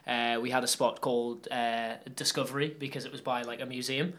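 A man's voice: mid-range voice, level tone, fairly quick, fairly bright tone. A young man with a Geordie accent, from Newcastle, chatting casually as an interview guest, with a rambling manner and lots of fillers. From Newcastle upon Tyne, England. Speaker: guest athlete.

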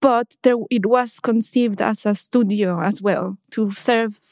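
A woman in her thirties from Mexico, a guest in a casual interview, who talks hesitantly with many restarts and soft laughs. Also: fairly high pitch, steady tone, a little slow, no echo, clean studio sound.

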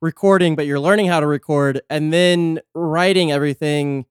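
Crisp, dry-voiced male podcast host. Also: fairly high pitch, steady tone, moderate pace, no echo. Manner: joking, laid-back, swears freely. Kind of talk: casual podcast interview.

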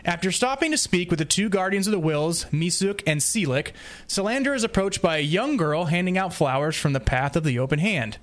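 Higher-pitched man reading a prepared summary. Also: animated, fairly quick, fairly bright tone. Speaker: podcast guest presenter.

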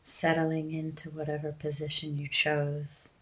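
Female meditation guide, gentle and very slow, with flat, steady intonation and long pauses. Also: deep voice.

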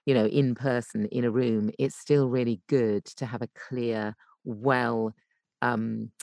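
A woman with a low voice explains technique as a voice coach on a podcast. She thinks aloud, repeating and rewording her phrases. The sound is dry, with very little room in it. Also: steady tone, slow, vocal fry, a little mellow, no echo. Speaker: guest voice coach and voice actor.

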